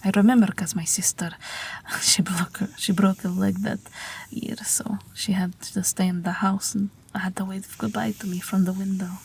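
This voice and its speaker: Woman acting a whispering, gentle night witch. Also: medium pitch, little pitch movement, normal speed. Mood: wistful, amused. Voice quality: breathy whisper. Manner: soft, soothing, hesitant, with gentle amusement. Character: gentle night witch aviator, old but youthful, soothing a patient. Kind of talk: whispered audio roleplay, intimate bedtime monologue.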